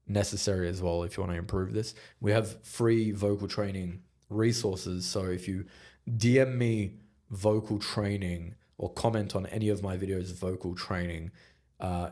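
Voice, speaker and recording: A man with a fairly low voice hosts his own advice podcast as a communication coach. He talks straight to his listeners in a motivational way. He speeds up and slows down on purpose and lets his volume swell and drop to drive each point home. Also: darkish tone. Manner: emphatic, varies volume and pace for effect; direct, motivational.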